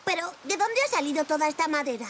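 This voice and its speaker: A woman voicing a curious, eager five-year-old boy zebra in a children's cartoon, with a Castilian Spanish accent and an expressive, childlike manner. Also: sits high, fast, a little hiss or hum. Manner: bright-eyed, expressive, childlike. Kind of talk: preschool cartoon dubbing.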